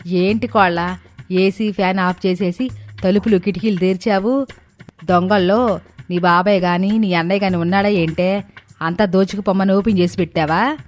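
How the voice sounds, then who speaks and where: A woman's voice: mid-range voice, fairly even intonation, moderate pace, lightly acted. A woman puts on a bossy, rich middle-aged mother-in-law for a moral story. She is hot-tempered and domineering, scolding with sarcasm. Light music runs underneath. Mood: sarcastic, threatening. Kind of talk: dramatized moral story dialogue.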